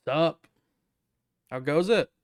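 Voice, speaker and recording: A man's voice: a little high, slow pace. A man giving casual commentary as he streams a game, with a wry, sardonic manner.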